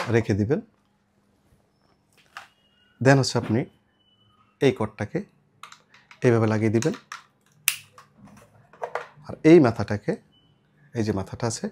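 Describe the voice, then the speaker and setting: Bangladeshi man demonstrating, noisy recording. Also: mid-range voice. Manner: instructional, step-by-step, mixing in English words. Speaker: shop seller presenting products. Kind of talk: informal product demonstration. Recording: clear background noise with clicks.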